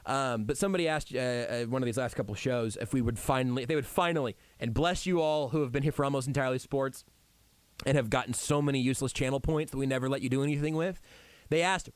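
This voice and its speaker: A man hosting a sports radio show, joking around in animated, self-deprecating on-air banter from a radio studio microphone. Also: medium pitch, quick pace, clean tone, no room sound.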